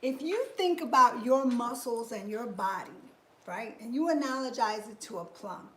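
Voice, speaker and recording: Woman, fifties, live-stream fitness host; high voice, slow and chatty, greets viewers by name, roomy echo. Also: expressive, pushed and bright. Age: 50s. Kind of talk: live-stream casual talk. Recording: echoey room.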